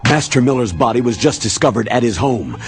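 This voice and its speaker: An actor playing a stern colonel in a video game, giving firm, authoritative orders in a raspy voice. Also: male voice, mid-range voice, lively intonation, fairly quick, close to an everyday voice. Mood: urgent, commanding. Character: stern, commanding male military colonel. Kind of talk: dramatic video game voice acting.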